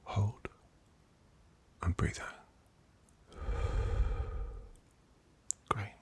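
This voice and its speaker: A British man doing a soft-spoken ASMR doctor roleplay, breathy and mostly whispered, with a gentle, reassuring, unhurried manner. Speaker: ASMR performer playing a doctor.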